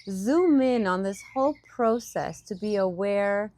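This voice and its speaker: Slow-paced woman guiding a meditation. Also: medium pitch, animated. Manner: unhurried, gentle phrasing with pauses. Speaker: guided meditation teacher. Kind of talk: guided meditation, softly instructive and partly improvised. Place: outdoors in a park. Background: birdsong.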